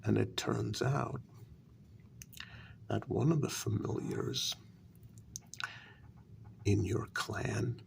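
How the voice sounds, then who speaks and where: A man playing a wounded, bitter vampire in a soft-spoken ASMR role-play, speaking in hushed, deliberate half-whispers with a gravelly voice. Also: on the low side, slight background noise, exaggerated voice. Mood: cold, explanatory. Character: wounded, bitter male vampire confronting his queen.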